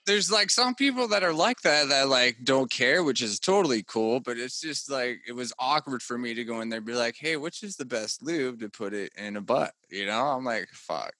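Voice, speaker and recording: A man hosting a casual podcast, excitable and swearing freely, with lots of "dude.". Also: mid-range voice, normal speed, hardly any pauses, no echo.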